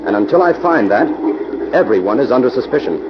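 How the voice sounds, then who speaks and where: An American man playing a composed, authoritative investigator in 1940s radio drama style, speaking deliberately. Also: mid-range voice, big pitch swings, close to an everyday voice. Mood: composed, probing. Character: sharp, composed male investigator, the Avenger. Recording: noisy, dull old radio recording.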